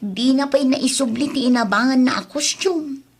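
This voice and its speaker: A woman's voice: high-pitched, moderate pace, husky. A woman with an Ilocano accent playing a nagging, aggrieved costume renter in a comedy audio drama. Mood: exasperated. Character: aggrieved costume-rental woman, exasperated daughter, insistent.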